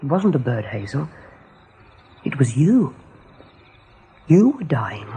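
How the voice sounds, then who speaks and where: A nervy young rabbit prophet in a radio play, very raspy n creaky, pleading. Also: male voice, medium pitch, big pitch swings, slow pace, mellow, exaggerated voice. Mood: grave, pleading. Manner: urgent, hesitant, pleading. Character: anxious, foreboding young male rabbit seer. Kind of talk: radio drama acting.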